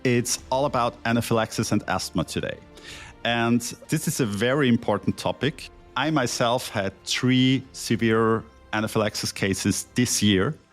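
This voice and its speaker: A man's voice: mid-range voice, soft background music. A man from Switzerland, a senior emergency physician hosting a podcast and asking formal, carefully prepared questions. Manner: formal, earnest, deliberate. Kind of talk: hosted interview, prepared questions.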